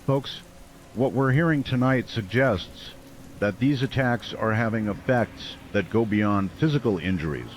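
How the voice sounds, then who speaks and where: An actor playing a small-town late-night radio host, measured, serious and a little skeptical. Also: male voice, lowish voice, animated, slight background noise. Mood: grave. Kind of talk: radio drama call-in hosting.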